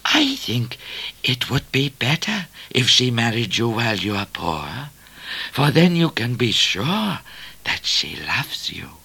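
A man voicing a sensible, gently consoling talking cat in a storybook reading. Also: medium pitch, wildly swooping pitch, slow pace, creaky, breathy edge, exaggerated voice. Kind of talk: storybook character voice.

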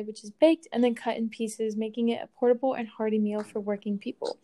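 Bright-toned young woman co-hosting a podcast. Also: fairly high pitch. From Colombia. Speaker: podcast co-host. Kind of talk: scripted podcast reading.

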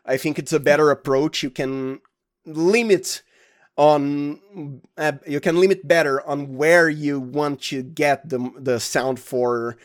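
Man, Brazilian accent, native Portuguese speaker, fairly high pitch, casual interview chat, halting phrasing. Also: fairly even intonation. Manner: halting, restarts phrases often, chuckles. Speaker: sound designer, interview guest.

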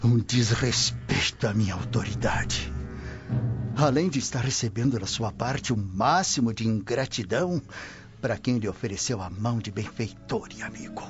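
An actor playing a stern, haughty Roman censor in a radio drama, speaking in an imperious, formal, accusing way. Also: male voice, mid-range voice, moderate pace, lightly acted. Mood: indignant. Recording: muffled lo-fi radio, clear music bed. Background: music.

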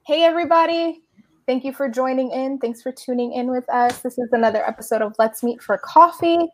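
A woman's voice: sits high, fairly quick, soft background music. Upbeat woman podcast host. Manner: upbeat, laughs easily. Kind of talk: casual podcast interview.

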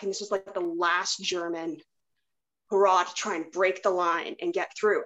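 A woman's voice: medium pitch, rough recording. A woman hosting a podcast, chatting casually and telling anecdotes in a rambling way. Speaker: podcast host. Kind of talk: casual chat.

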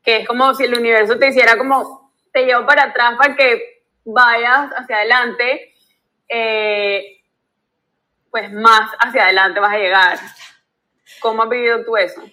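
A woman's voice: on the high side, fairly even intonation, normal speed, long pauses, sharp tone, low-quality recording. A woman, hosting a podcast, speaking in a reflective, affirming way. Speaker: podcast host. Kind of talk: casual conversation.

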